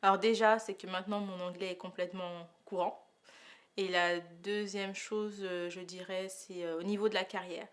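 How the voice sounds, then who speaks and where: A thirty-year-old woman from Paris gives a spontaneous personal testimonial, pausing often as she thinks aloud in a hesitant way. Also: fairly low pitch, level tone. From Paris, France. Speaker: testimonial interviewee.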